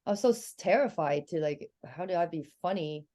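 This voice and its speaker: A woman's voice: on the low side, brisk. A middle-aged woman from Hong Kong, speaking English as a second language with a Hong Kong Cantonese accent, telling candid stories as a guest on a comedy podcast. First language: Chinese. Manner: candid, animated storytelling. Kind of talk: casual podcast chat.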